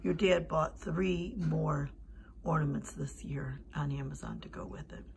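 A woman's voice: low-pitched, unhurried, vocal fry, darkish tone, a little hiss or hum. An older woman chatting casually at home from behind the camera as she films. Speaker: vlogger filming at home. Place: living room and kitchen at home.

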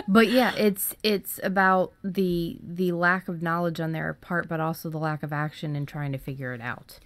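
A woman's voice: lowish voice, steady tone, moderate pace, dry recording. A woman co-hosting a podcast, talking in a casual, conversational style. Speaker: podcast co-host.

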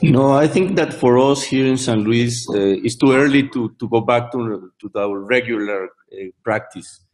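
A man's voice: medium pitch, unhurried, darkish tone, poor audio. A middle-aged man with a Mexican Spanish accent, a cardiologist answering carefully on a video call. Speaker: interventional cardiologist. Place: office indoors.

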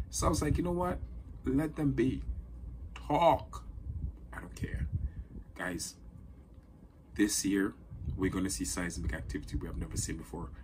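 A man in his forties talks straight into a phone camera, emphatic and repetitive, hands moving as he speaks. His voice sits a little low. A faint hiss of room ambience sits behind him. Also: rough recording. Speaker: social media creator. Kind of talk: talking-head monologue to camera. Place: indoors in front of a green screen. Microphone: phone or camera close in front.

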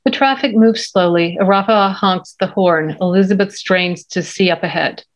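A middle-aged woman narrates a screenplay at a table read. Her voice is a little low, and the video-call audio is dull. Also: moderate pace. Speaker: narrator reading stage directions. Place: indoor home room, video call.

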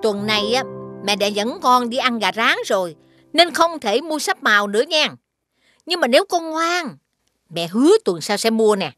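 Young mother, bright voice, loving but firm, southern Vietnamese accent, children's cartoon. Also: fairly high pitch, very animated, moderate pace, no echo, soft background music. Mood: patient, affectionate. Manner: gently firm. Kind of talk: animated children's cartoon dubbing.

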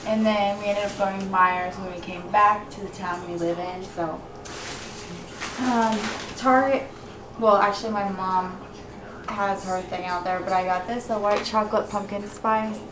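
A young American woman talks to her camera in a loose, informal vlog style. Her voice sits at an average pitch. The sound is echoey, with clear background noise. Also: steady tone, moderate pace. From United States, Indiana. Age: young adult. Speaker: vlogger. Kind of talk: casual vlog chat. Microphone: camera microphone. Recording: roomy echo, noisy handheld camera audio.

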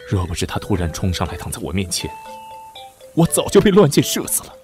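A man's voice: deep voice, huge pitch swings, a little fast, music in the background. Man playing a hammy, wildly swooping prince. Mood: effusive. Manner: melodramatic, hammy, stammering when flustered. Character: young lecherous prince, secretly another soul, theatrically grieving and scheming. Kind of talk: Chinese costume audio drama acting.

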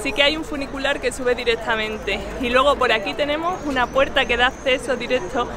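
A woman's voice: high voice, steady tone, fairly quick. A woman in her thirties with a Spain Spanish accent, cheerfully explaining places and food as a travel vlogger filming herself. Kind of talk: casual travel narration to camera. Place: outdoors in old town streets and shops. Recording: background music and crowd chatter.

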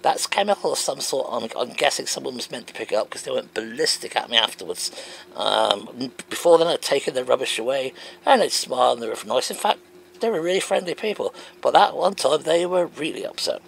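Animated man with a gravelly voice. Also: mid-range voice, a little fast, a little hiss or hum, low-quality recording. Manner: animated, wondering aloud, emphatic. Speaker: homeowner answering comments. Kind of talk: reading out and answering comments.

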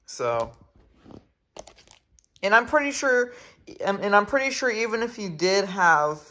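A man's voice: sits high, big pitch swings, a little slow, sharp tone. A man giving a casual hands-on tutorial as a video creator, recorded indoors at home on a handheld camera. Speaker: video creator demonstrating radios.

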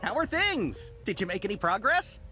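Friendly trader, slightly high, animated. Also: male voice, normal speed, faint music behind, heavily acted. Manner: hopeful, accommodating. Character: eager merchant caravan leader, friendly.

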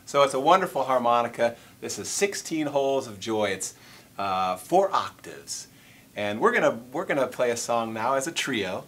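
A man's voice: mid-range voice, sing-song, normal speed, a bit bright. A middle-aged man hosting a harmonica lesson, explaining instruments in a casual, friendly way in a curtained convention room. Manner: friendly, explanatory, counts out rhythms. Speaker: host and harmonica player. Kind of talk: casual interview and demonstration.

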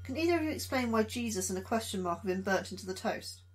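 A woman's voice: medium pitch, animated, fast talker, close to an everyday voice. An actress playing an anxious, sardonic female astrobiologist in a sci-fi horror audio drama. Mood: uneasy, dry. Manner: anxious, questioning, sarcastic. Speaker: actor in audio drama, science officer.

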